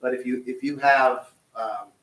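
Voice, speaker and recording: A man representing a softball league, explaining plans at a town board meeting in an easygoing way with frequent restarts. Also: medium pitch, steady tone, a little slow. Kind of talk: public meeting discussion. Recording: distant mic in echoey hall.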